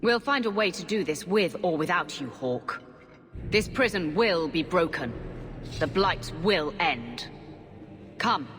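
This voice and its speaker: Stern commander in cavernous reverb. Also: female voice, lowish voice, expressive, slow pace, fairly bright tone, faint music behind. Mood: defiant, scornful. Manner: stern, insistent, imperious. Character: determined, haughty female warrior commander, obsessed zealot. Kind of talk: dramatic fantasy game acting. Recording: long stone-hall reverb.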